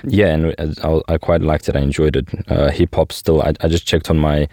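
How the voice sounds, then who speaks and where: A man's voice: very deep voice, flat delivery, normal speed, mellow, dry recording, studio quality. A young man from South Africa hosting a podcast interview, reading an upbeat intro and then chatting casually. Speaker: podcast host.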